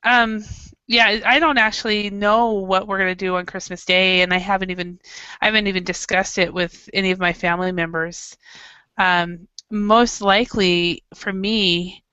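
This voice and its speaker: A woman chatting casually as an online English teacher, often pausing and repeating words. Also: medium pitch, fairly even intonation, bright, forceful tone, clean tone, no echo. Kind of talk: casual group lesson chat. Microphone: online voice call. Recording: slightly dull, compressed online audio.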